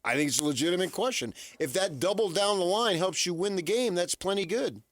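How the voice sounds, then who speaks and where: A man's voice: high voice, expressive, a little fast, a bit bright, no echo. A man hosting a sports radio show, debating off the cuff in an emphatic, insistent way and repeating his points for effect. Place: radio studio.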